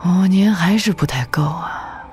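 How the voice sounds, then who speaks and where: A woman playing a proud, formidable martial-arts demon lord in an audio drama, with an imperious, measured delivery. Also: lowish voice, a little mellow, soft background music, close to an everyday voice. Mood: grave, cool.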